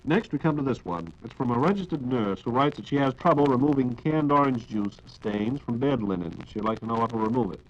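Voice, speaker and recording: Male American radio host, 1940s announcer style, formal measured delivery, mid pitch, dull vintage sound. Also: lively intonation. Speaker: radio program host reading listener questions.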